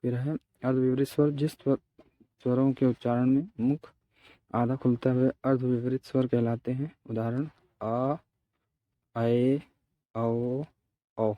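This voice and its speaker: Male tutor, slow and methodical, soft dark tone, close dry sound, explaining notes for exam students. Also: mid-range voice, flat delivery, no echo, narrow, tinny audio. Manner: methodical, repeats key terms and examples. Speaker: teacher. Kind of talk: exam-prep grammar lesson, reading out and explaining notes.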